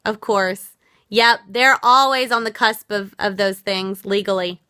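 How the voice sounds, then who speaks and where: Earnest woman with a bright, tired voice. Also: medium pitch, a little slow, clean tone, no echo, clean studio sound. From United States. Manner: earnest, reflective, reads and answers viewer comments. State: losing her voice. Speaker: livestream host. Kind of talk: casual live stream talk to viewers.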